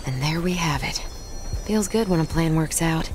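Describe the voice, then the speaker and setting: A woman's voice: low voice, normal speed, darkish tone, faint music behind, close to an everyday voice. An actress playing a plucky, practical young frontier woman in a Western video game, confident and matter-of-fact. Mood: assured, practical. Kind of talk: video game cutscene acting.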